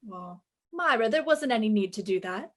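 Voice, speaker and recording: A woman's voice: fairly high pitch, animated, moderate pace, fairly bright tone. A woman playing a breezy, wry female private detective in a 1950s-style radio play, with sassy delivery. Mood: polite, breezy.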